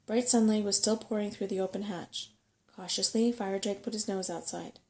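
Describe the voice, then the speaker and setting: A soft-spoken woman reading a bedtime story in a gentle, unhurried ASMR style. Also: medium pitch, fairly even intonation. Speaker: audiobook-style reader.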